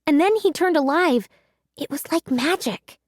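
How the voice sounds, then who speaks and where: A woman voicing an eager, affectionate young girl in a children's story, sweet, excitable and earnest. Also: sits high, unhurried, dry recording, clean studio sound, pitched above the performer's own voice. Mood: earnest, pleading. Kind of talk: children's audiobook read-aloud.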